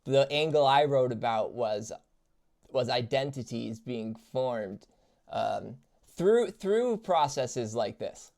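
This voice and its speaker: Man reacting to a video, halting. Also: mid-range voice, expressive, creaky, darkish tone. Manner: halting, many restarts and fillers, talking to chat. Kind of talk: casual reaction commentary.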